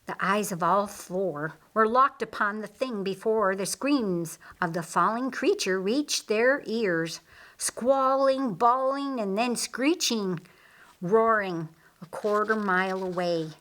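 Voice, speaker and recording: A woman reading a fiction audiobook as narrator, in a deliberate, measured manner. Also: mid-range voice, a bit bright. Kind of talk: scripted narration of a fiction story.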